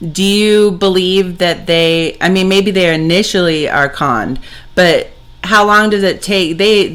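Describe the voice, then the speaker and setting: A radio talk show host asking measured, probing questions of a guest. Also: mid-range voice, a bit bright, slight background noise.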